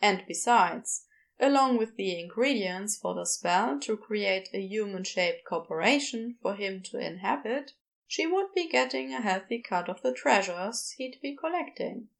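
A woman's voice: medium pitch, animated, a little slow, creaky. A woman narrating scripted fiction as an audiobook storyteller.

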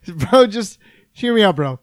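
A man's voice: high-pitched, sing-song, no echo. A man putting on a voice to play a slangy, laid-back bro acting as a lawyer. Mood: cocky. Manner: drawling bro slang, fast and loose.

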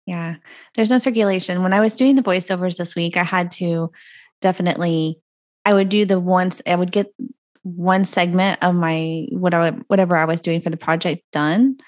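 A woman co-hosting a podcast, her voice sitting a little low, in a loose, rambling chat. She laughs often and trails off mid-thought, and the sound is dry and close, captured through a video call. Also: fairly even intonation, moderate pace, no echo. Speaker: podcast co-host. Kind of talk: casual chat. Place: home recording closet over a video call. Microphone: video call audio.

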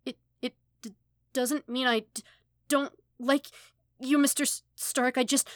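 A woman voicing an anxious, ashamed teenage boy in a story, stammering and halting as he speaks. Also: high-pitched, steady tone, no echo, studio quality, voice pushed up. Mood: anxious, apologetic.

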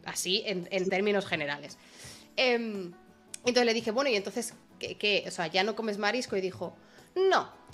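A woman's voice: mid-range voice, moderate pace, soft background music. Chatty woman host. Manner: chatty, lively storyteller. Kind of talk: casual chat, storytelling. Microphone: video call.

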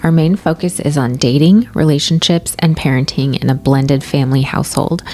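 A woman's voice: low-pitched, a little fast, darkish tone. A woman hosting a podcast, reading her intro and survey figures in an organized way and steering the chat with questions. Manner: organized, reads stats and prompts. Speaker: podcast host.

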